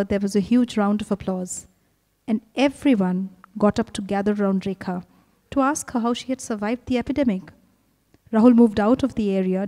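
A middle-aged Indian woman reads prose and verse into a handheld mic. Her tone is warm and relaxed, her delivery measured and dramatic, with deliberate pauses. The sound rings in a big reverberant hall. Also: mid-range voice. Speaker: presenter reading literary prose and verse. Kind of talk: measured dramatic literary reading. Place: stage in a large hotel ballroom. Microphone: handheld microphone. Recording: reverberant hall, live stage microphone.

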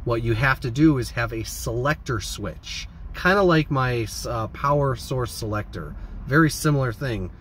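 A man's voice: mid-range voice, animated, normal speed, a bit bright. A man, chatting casually to camera in a rambling, informal way. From American Midwest. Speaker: vlogger. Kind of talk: casual vlog chat to camera. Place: inside a parked van. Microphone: phone or camera at arm's length. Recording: clear background noise, vehicle ambience.

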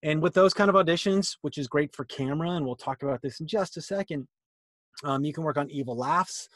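A middle-aged man presenting an informal webinar on a video call, with a playful, animated storytelling manner. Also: fairly high pitch, a little fast, no room sound, studio quality. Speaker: presenter, youth theatre director. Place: small room indoors, video call.

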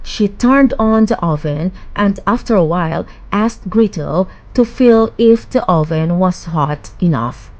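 A woman narrating a children's bedtime fairy tale in a measured, expressive storytelling manner. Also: fairly low pitch, dull audio.

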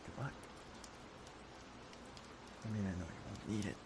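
A man voicing an obsessive, tender young yandere in an intimate, halting, hushed ASMR roleplay. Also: on the low side, pitched below the performer's own voice. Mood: tender, devoted. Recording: clear background noise.

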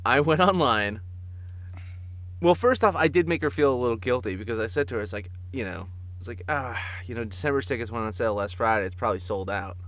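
A man co-hosting a podcast in casual comic chat, telling stories in an animated way and chuckling as he talks. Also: medium pitch, fast talker. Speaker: podcast co-host.

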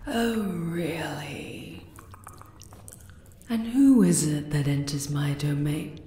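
Actress as monster queen, fantasy ASMR: low, very slow, majestic yet affectionate, roomy sound. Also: dark tone. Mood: regal, commanding. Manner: regal, tender, deliberate. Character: regal, affectionate, teasing spider monster queen. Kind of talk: ASMR roleplay acting. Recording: roomy echo on the voice.

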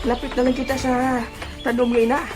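A man's voice: very high voice, completely flat delivery, moderate pace, music in the background. A man playing a boastful, giggly and silly adventurer in a comedic audio drama, with an Ilonggo Filipino accent. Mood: giggly, eager. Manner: bragging, giggly, chatty. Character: boastful, silly, excitable male adventurer sidekick.

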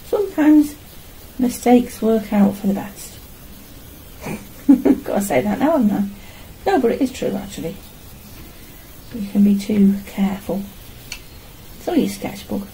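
A woman's voice: fairly high pitch, animated, a little slow, pauses often, dark tone, a little hiss or hum, low-quality recording. A middle-aged woman chatting casually to herself and the camera as she works at painting in a small room at home. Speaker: artist and vlogger. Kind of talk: casual narration while painting. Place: small home art studio, indoors.

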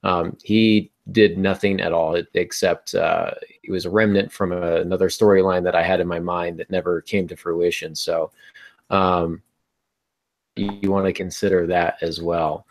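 A man's voice: sits low, little pitch movement, normal speed. A middle-aged man hosting a casual writing discussion over a video call, feeling his way through his points. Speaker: podcast host and novelist. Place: small home room, on a video call.

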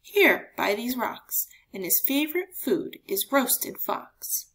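A woman's voice: on the high side, very animated, takes it slowly, fairly bright tone, full character voice. A woman voicing a small, clever, boastful mouse in a storybook, polite but bold as it bluffs. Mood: sly.

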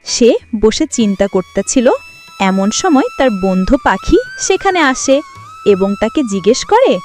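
A woman narrates a children's fairy tale. Her voice is fairly high and moves with lively intonation, over soft background music. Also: measured pace. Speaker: storyteller.

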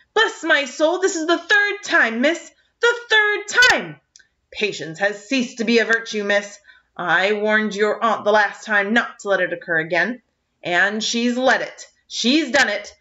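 A woman's voice: extremely high pitch, wildly swooping pitch, takes it slowly, hardly any pauses, sharp tone, pitched above the performer's own voice, exaggerated voice. A woman doing a spluttering, furious old farmer. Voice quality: spluttering, blustering. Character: irate short fat older man farmer, spluttering. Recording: slightly dull lo-fi recording.

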